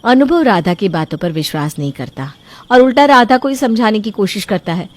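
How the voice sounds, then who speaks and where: A woman narrates a scripted family story at a mid pitch. Her delivery is even and steady, the plain manner of a storyteller. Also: a little fast.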